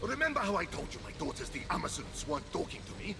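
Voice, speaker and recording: A blustering video game war god, vain and touchy. His high voice swings wildly, theatrical and secretly sheepish, with music playing behind it. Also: big pitch swings, fast talker. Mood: sheepish, confiding. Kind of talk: video game cutscene voice acting.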